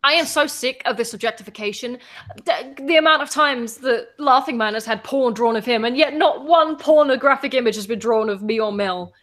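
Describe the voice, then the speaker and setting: Sarcastic young woman, slightly high. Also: a little fast, a bit bright. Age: 20s. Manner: sarcastic, mock-outraged. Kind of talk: casual crude group chat.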